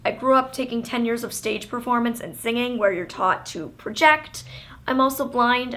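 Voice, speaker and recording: Loud, bright-voiced young woman vlogger. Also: fairly high pitch. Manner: loud, projecting talker; chatty, many filler "like"s. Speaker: vlogger and motivational speaker. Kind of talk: casual storytelling to camera.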